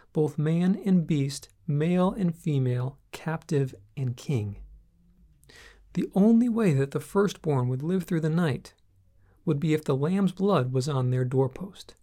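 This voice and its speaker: A man reading a scripted devotional teaching aloud, with measured, deliberate phrasing and a solemn, earnest manner. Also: on the high side, warm tone. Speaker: Bible teacher reading own article.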